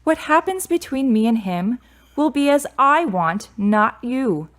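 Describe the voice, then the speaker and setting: A woman's voice: a little high, animated, measured pace, fairly bright tone. A woman playing a grieving, independent and prickly adult daughter in a radio drama, speaking in a curt, guarded way. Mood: irritated, firm.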